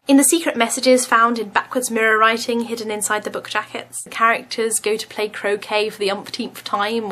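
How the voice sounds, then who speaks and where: Casting: woman reviewer, higher-pitched voice, reading a scripted recommendation. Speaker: book reviewer. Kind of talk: scripted review read aloud.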